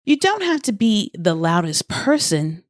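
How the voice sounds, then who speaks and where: Female podcast coach, unhurried, animated pitch, scripted motivational read addressed to the listener. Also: no echo, clean studio sound. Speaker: podcast host and life coach.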